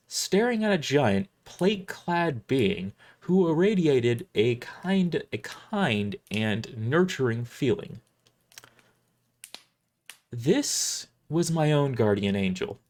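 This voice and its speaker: A man's voice: medium pitch, darkish tone. A man hosting a casual livestream, chatting and narrating a science fiction story he wrote. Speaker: streamer and author reading own book.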